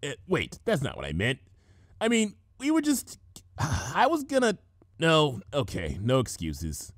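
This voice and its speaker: Man as brash cartoon pegasus mare. Casual, sheepish, halting, with swooping intonation. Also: a little high, wildly swooping pitch, no room sound, studio quality, exaggerated voice.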